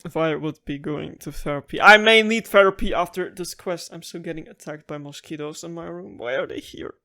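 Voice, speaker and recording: A woman's voice: sits low, a little fast, bright, forceful tone. A woman giving casual let's-play commentary as a gaming streamer, emotive and dramatic, with loose, sweary phrasing. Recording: game music underneath.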